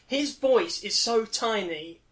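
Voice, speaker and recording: A young man with a British accent and a boomy voice, hosting casual comedic banter in a teasing, mock-indignant way. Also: animated, slow pace, a bit bright. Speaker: podcast host.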